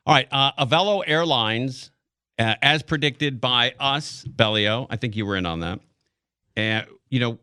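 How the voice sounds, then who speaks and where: American guy doing talk radio, clear crisp low voice, kinda rambly and halting, close dry studio sound. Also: sharp tone, dry recording. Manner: rambling, halting, thinking aloud. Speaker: radio talk show host. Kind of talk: live radio talk, casual news commentary. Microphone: close broadcast studio mic.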